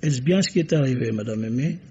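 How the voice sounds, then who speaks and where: An actor playing a shrewd, courteous, self-assured police commissioner in a formal, theatrical radio mystery style. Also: male voice, medium pitch, animated, fast talker, warm tone, breathy edge. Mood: solemn, accusing. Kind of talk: vintage French radio mystery acting. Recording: dull, muffled old radio recording.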